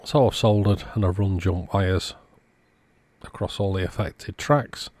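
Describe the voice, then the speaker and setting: Male repair technician, lower-pitched, casual narrated commentary for a hands-on video. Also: a little slow, a little mellow, no room sound.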